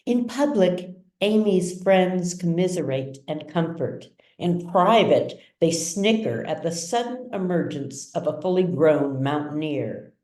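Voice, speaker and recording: A woman author reading her novel as dramatic literary narration, with measured, deliberate phrasing. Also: low-pitched, darkish tone. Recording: roomy echo, distant mic.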